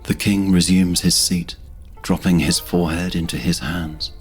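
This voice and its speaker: Male narrator for fantasy audio drama: very low register, measured storytelling, faint music underneath. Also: flat delivery, slightly rough.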